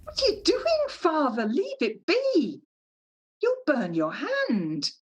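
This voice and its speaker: A woman acting in a radio play as a brisk, wry, fussing mother with a bossy, scolding manner. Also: sits high, huge pitch swings, full character voice. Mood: alarmed.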